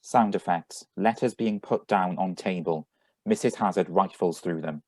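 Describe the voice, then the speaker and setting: Deep-voiced man acting in a radio play. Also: moderate pace, a little mellow, no room sound. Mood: flat announcing. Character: brisk, mildly exasperated grown son at breakfast. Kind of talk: radio drama reading, also announcing sound effects. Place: remote live reading over video call.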